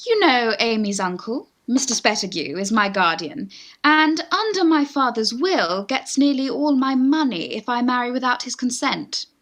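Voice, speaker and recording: A woman's voice: on the high side, very animated, moderate pace, fairly bright tone. A woman with a British accent playing an eager young Victorian lady in love in a radio farce, genteel and pleading. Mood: coaxing. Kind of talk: Victorian stage farce, radio play acting.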